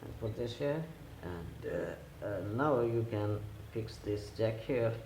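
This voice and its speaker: A man who speaks English as a second language, giving hands-on repair instructions in a halting, simple step-by-step way. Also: on the low side, lively intonation, a little mellow. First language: not English. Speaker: repair tutorial host. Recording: echoey room with clear background noise.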